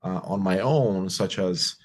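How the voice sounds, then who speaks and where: A man's voice: deep voice, unhurried. A man in his forties, from Romania with Romanian as his first language, talking upbeat and reflective as an interview guest, often repeating phrases. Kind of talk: casual interview conversation.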